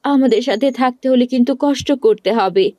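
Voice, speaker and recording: A woman plays a kind, hard-up village mother in an animated folk tale. She sounds determined, speaking as a grown woman in a cartoon drama. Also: fairly high pitch, brisk, no echo, highs cut off, close to an everyday voice. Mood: kindly. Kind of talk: cartoon story acting.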